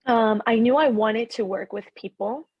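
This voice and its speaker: A woman from Ecuador, a podcast guest, talking in a reflective, animated way about her life. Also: fairly high pitch, moderate pace, breathy edge, no room sound, highs cut off. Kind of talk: casual conversation.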